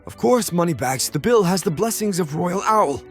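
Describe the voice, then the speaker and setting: A man's voice: sits high, lively intonation, moderate pace. Guy doing a pompous threatening owl politician, talks down to everyone, radio play with faint music. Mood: scheming, condescending. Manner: pompous, condescending. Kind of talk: audio play acting. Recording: music behind the voice.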